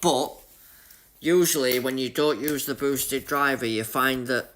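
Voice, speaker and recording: A man's voice: mid-range voice, a little slow, fairly bright tone. A young man with a Yorkshire accent chatting casually with his live-stream viewers while playing a racing game, greeting them by name and laughing at his own luck. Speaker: gaming livestreamer.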